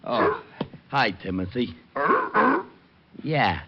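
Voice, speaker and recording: An actor playing a slow-witted, scatterbrained police sergeant in an old radio drama, hesitant and absent-minded. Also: male voice, on the low side, fairly bright tone, heavily acted. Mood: absent-minded, dim. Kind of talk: old-time radio comic acting. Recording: dull old radio recording.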